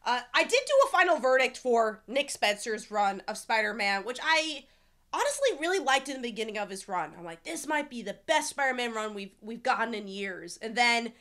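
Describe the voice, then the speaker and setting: A woman with a bright, slightly high voice and a stuffy nasal tone from a cold gives a casual comic review. She talks in a chatty way, full of fillers and restarts, and her pitch keeps jumping up with enthusiasm. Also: very animated, moderate pace. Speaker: comic book reviewer, channel host. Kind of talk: casual review talk to camera.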